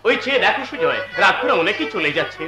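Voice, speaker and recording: A high, beseeching young brother. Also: male voice, very animated, fast talker. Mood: pleading. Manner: earnest, beseeching. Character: humble, pleading young brother of the bride. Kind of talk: melodramatic Bengali folk theatre acting. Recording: echoey stage sound with music.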